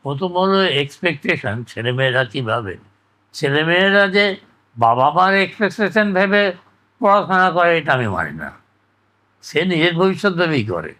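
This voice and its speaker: Older man, higher-pitched voice. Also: big pitch swings, normal speed, sharp tone. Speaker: interviewee. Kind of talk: conversational oral-history reminiscence.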